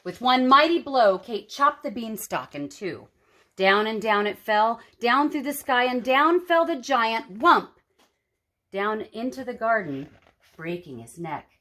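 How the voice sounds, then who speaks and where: A woman storyteller reading a picture book aloud to children in an expressive, dramatic and emphatic way. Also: fairly high pitch, measured pace.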